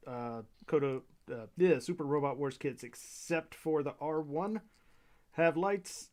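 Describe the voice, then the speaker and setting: A man's voice: mid-range voice, darkish tone. A man chatting easily with friends about model kits, talkative about the details. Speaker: co-builder. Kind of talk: casual chat.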